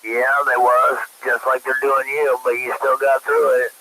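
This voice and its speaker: A man's voice: mid-range voice, flat delivery, moderate pace. A man chatting casually over CB radio in a joking, coarse manner. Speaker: CB radio operator. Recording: static-laden CB radio transmission.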